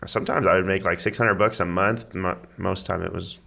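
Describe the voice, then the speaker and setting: A man chatting casually as a podcast guest, in a halting, rambling way as he thinks aloud. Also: low voice, steady tone, creaky, pushed and bright. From Missouri, United States. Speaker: podcast guest, amateur athlete and school IT worker. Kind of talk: casual interview chat.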